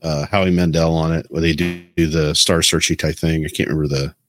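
A man co-hosting a podcast, explaining his points in a halting, conversational way. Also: a bass voice, little pitch movement, a little hiss or hum. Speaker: podcast co-host. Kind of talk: casual podcast discussion.

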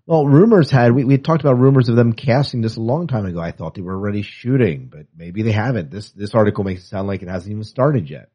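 Low-voiced man, dull lo-fi sound. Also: animated, quick pace, few pauses, dark tone, husky. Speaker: podcast co-host. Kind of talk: casual entertainment news chat. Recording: dull, lo-fi podcast audio.

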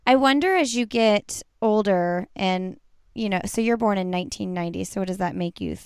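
A thirty-seven-year-old woman hosts a podcast in a relaxed, chatty way, asking her guest questions. Her voice is smooth and clear, recorded dry and close. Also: mid-range voice, moderate pace, no room sound. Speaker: podcast host. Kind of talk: casual interview chat.

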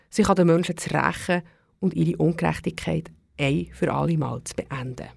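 A woman's voice: on the low side, creaky, dry recording, studio quality. A woman presenting as a radio host, introducing audio plays.

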